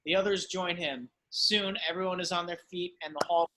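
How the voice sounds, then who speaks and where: A man's voice: sits high, moderate pace, sharp tone, rough recording. A man reading screenplay stage directions as dramatic scripted narration.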